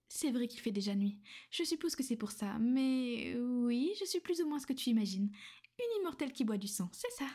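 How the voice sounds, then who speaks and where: Woman playing a soft-spoken vampire noblewoman. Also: sits high. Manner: soft, soothing, often whispered. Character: gentle, possessive female vampire noblewoman. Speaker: ASMR roleplay performer. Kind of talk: ASMR roleplay.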